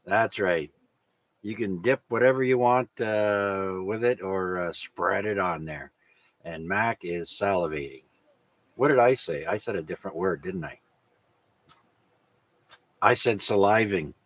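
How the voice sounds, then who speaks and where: A low-pitched man, native in French, hosts a casual amateur radio net. He rambles along with hesitations, and his voice sits dry and close with hardly any room sound. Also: measured pace, long pauses, fairly bright tone, dry recording.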